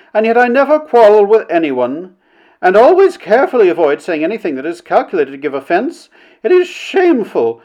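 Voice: mid-range voice, darkish tone, voice pushed up, lightly acted. A narrator voicing a self-important, quarrelsome woman in a story, declaiming with haughty, melodramatic indignation.

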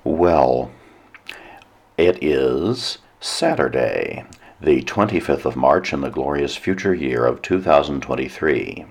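A very deep, gravelly male voice, hoarse and nearly gone, speaking slowly. He delivers a solo radio monologue in a measured, lecturing way with dry little asides. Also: expressive. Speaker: radio show host.

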